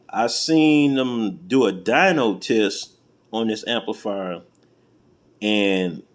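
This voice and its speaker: An American man chatting casually and informally as he does a hands-on product unboxing, with a folksy, colloquial way of talking. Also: medium pitch. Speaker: vlogger unboxing and reviewing car audio gear. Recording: clearly noisy home-video recording.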